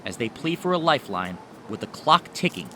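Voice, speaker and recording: A man's voice: medium pitch, very animated, a little fast, sharp tone, slight background noise. An American man delivering scripted narration as a television news reporter.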